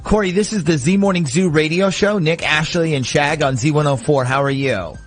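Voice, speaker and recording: A man hosting a morning radio show, chatting and asking informal questions in lively phone-in banter. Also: fairly high pitch, normal speed, soft background music. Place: radio studio.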